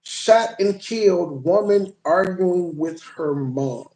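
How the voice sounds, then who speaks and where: A man's voice: sits high, animated, takes it slowly, mellow, echoey room, rough recording. A man hosting a livestream, rambling and profane as he chats with viewers and reacts to clips. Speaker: livestream host. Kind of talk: casual live commentary.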